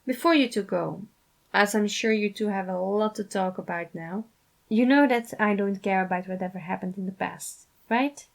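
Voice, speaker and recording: A woman voicing a supportive, sincere man in a fan fiction reading, speaking earnestly and gently. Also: mid-range voice, moderate pace, vocal fry, lightly acted. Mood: proud, supportive. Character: supportive, sincere man reassuring his friends.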